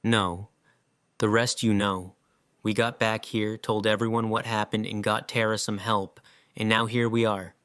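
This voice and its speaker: A man acting an earnest, guilt-ridden survivor in an audio drama, with halting, emotional delivery. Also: lowish voice, little pitch movement, fairly bright tone, clear, resonant tone, dry recording, clean studio sound. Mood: subdued.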